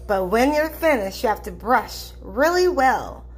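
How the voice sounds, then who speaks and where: A firm, attentive storybook mother, put on by a woman reader. She is sing-song and high, with very lively swings in pitch. Her delivery is aimed at small children. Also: unhurried, faint music behind. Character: brisk, attentive storybook mom.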